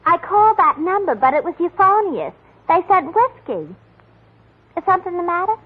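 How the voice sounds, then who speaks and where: Ditzy fluttery secretary. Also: female voice, very high voice, sing-song, normal speed. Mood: gushing. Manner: garbled words, fluttery and gushing. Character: flustered ditzy young female stand-in secretary. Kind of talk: 1940s radio acting. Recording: tinny old radio broadcast.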